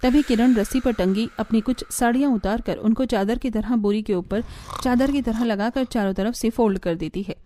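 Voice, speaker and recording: Mellow high-voiced woman narrating a story. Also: normal speed. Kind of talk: scripted narration of a cartoon family story.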